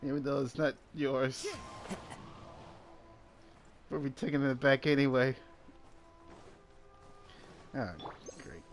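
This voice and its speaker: A man's voice: mid-range voice, level tone, slow, long pauses, faint music behind. A man giving casual gameplay commentary with playful, mock-dramatic asides. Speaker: gaming video host. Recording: clearly noisy home recording.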